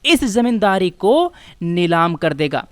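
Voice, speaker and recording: A woman's voice: lowish voice, very animated, slow pace, dry recording. A woman with an Indian accent lecturing as an exam-prep teacher, explaining step by step with rhetorical questions and repeating key phrases for emphasis. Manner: switches between Hindi and English.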